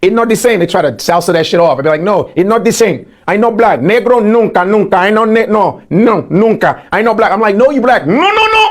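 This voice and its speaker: A man doing a stand-up comedy routine, loud and emphatic, repeating punchlines while mimicking people he talks to. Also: sits high, very animated, brisk, few pauses.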